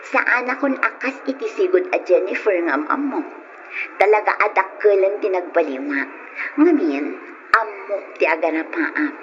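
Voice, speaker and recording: Babai nga agak-akem iti napalangguad nga asawa. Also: female voice, mid-range voice, expressive, normal speed, slight background noise, lightly acted. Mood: haughty, surprised. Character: proud, fussy married woman returning from Canada. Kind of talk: old radio drama acting. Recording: muffled old radio drama recording with music.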